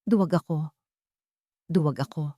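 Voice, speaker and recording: A woman's voice: low-pitched, unhurried, mellow, no echo, studio quality. A Filipino woman voicing a cowardly rich husband who pleads tearfully in an audio romance story. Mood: ashamed.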